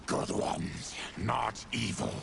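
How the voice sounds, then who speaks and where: An actor playing a stern, honorable monstrous warrior in a video game, gravelly and growling, terse and solemn. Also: male voice, on the low side, takes it slowly, noisy background, heavily acted. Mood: grave, calm. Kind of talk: dramatic video game acting.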